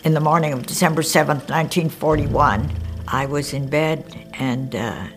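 A woman's voice: low-pitched, normal speed, over music. An older woman from Hawaii, a former wartime pilot, telling her memories in an interview with vivid, fond storytelling. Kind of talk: documentary interview, reminiscing.

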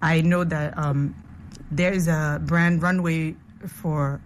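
A woman's voice: low voice, fairly even intonation, slow pace, a little hiss or hum. A woman who organizes a fashion masterclass, answering questions in a thoughtful, explaining way. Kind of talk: casual interview.

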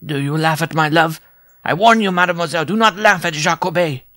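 A tiny, proud French circus dwarf, acted out by a man in a storybook reading. The voice is raised and shrill, with florid, theatrical phrasing and a touchy, lovesick pride. It sounds dry and close. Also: brisk, fairly bright tone, no room sound. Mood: indignant. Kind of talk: storybook character voice.

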